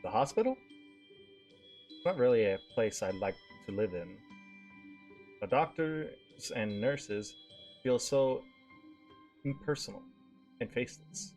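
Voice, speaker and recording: A man's voice: medium pitch, animated, a little slow, long pauses, darkish tone, faint music behind. A male streamer reading a visual novel aloud with casual asides, stumbling over words and swearing casually.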